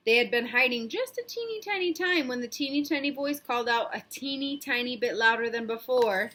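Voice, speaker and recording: A woman's voice: high voice, a bit bright. A woman reading a storybook aloud to young children, narrating expressively in an interactive, explanatory way.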